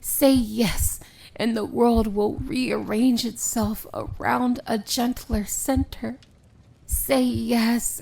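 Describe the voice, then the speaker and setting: A woman's voice: a little high, vocal fry, warm tone, rough recording. A woman playing a powerful, solemn and devoted evil princess in a romantic fantasy ASMR roleplay, speaking in formal, poetic phrases that often drop into a whisper. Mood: earnest, coaxing.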